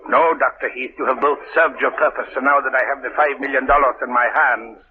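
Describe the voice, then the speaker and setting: An actor playing a cold, scheming villain mastermind in a 1940s radio drama, smooth and menacing. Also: male voice, mid-range voice, steady tone, brisk, lightly acted. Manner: smooth, menacing, relishing cruelty. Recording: tinny noisy old radio broadcast.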